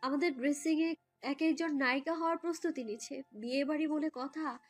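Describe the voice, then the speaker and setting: A woman narrating a romantic story in the first person, in a girlish, expressive, dramatised way. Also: high voice, a little fast. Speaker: narrator and lead voice actor. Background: background music.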